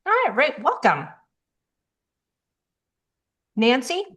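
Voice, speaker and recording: A woman moderating a video-call meeting, introducing people in a friendly, brisk way. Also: high voice, big pitch swings, fairly bright tone.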